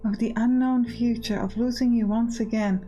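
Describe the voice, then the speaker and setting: A woman's voice: a little high, steady tone, moderate pace, dark tone, soft background music, natural voice, low-quality recording. A woman voicing a mysterious, pleading, solemn figure from a lost past in a visual novel.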